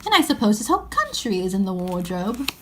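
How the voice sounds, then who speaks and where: A woman's voice: mid-range voice, very animated, a little fast, a bit bright, a little hiss or hum. A woman voicing an earnest, fair-minded eldest-brother schoolboy in a children's story, with emphatic old-fashioned phrasing.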